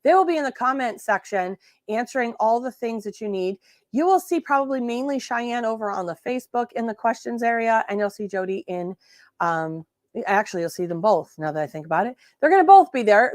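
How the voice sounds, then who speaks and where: A hoarse woman hosting a casual livestream crafting tutorial, sassy and chatty, often restarting her sentences. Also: fairly high pitch, animated, fairly quick, a little mellow, no echo, clean studio sound. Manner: sassy, chatty, self-correcting. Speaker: host.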